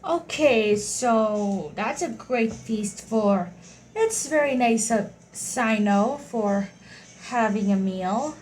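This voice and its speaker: A woman casually commenting on her game playthrough, slow and halting. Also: on the high side, animated, a little hiss or hum. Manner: slow, halting, reading along. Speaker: gaming YouTuber. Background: loud game music.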